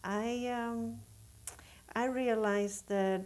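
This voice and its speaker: A middle-aged or older woman from Sweden, a native Swedish speaker, telling her story as an interview guest in a measured, deliberate, reflective way. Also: fairly high pitch, steady tone, a little mellow. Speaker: guest, Unitarian Universalist minister.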